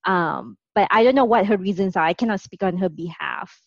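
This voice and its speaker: A woman's voice: mid-range voice, lively intonation, fairly quick, no room sound, lo-fi sound. A woman from Singapore co-hosting a podcast, speaking with a light Singaporean accent under an American-leaning one, chatty and full of "like" fillers. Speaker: podcast co-host. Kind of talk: casual podcast chat.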